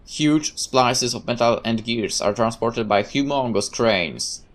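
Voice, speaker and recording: A woman reading a visual novel aloud in an expressive, sometimes hesitant way. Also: extremely low pitch, slow pace. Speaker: gameplay narrator.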